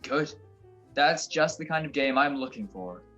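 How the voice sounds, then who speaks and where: A man's voice: mid-range voice, animated, a little slow, bright, forceful tone, muffled recording, soft background music. A man playing a tough, vengeful detective in an old radio-style crime drama, curt and threatening. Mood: hard, determined. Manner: curt, threatening, hard-boiled. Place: recorded at home over a video call.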